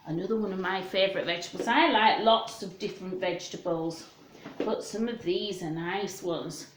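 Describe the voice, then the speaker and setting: A woman presenting a children's storytime, chatting in a gentle sing-song way and putting questions to young listeners. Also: a little high. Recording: roomy, echoing room sound.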